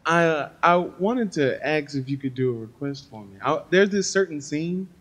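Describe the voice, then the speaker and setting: A man's voice: a little high, lively intonation, moderate pace, fairly bright tone. A man asking a request as a fan at a panel, casual and hesitant. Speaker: fan at the microphone. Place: convention panel room.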